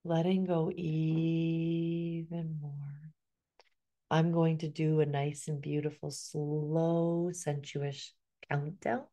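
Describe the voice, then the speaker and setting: A middle-aged woman guiding a hypnosis session in a slow, soothing way, often sinking into a soft whisper. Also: low voice, level tone.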